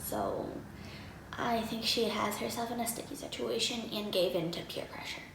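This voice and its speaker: A woman's voice: medium pitch, sing-song, moderate pace, vocal fry, fairly bright tone. A teenage girl giving casual reaction commentary at home, chatty with many fillers. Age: teens. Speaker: vlogger reacting to a video. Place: home room, indoors. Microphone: desk mic in front of her. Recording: roomy echo with faint background hum.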